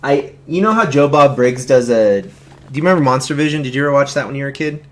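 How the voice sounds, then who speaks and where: A man's voice: mid-range voice, fast talker, a bit bright. Chatty, informal man hosting a stream. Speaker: stream host introducing a film watch-along. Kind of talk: casual chat and film commentary.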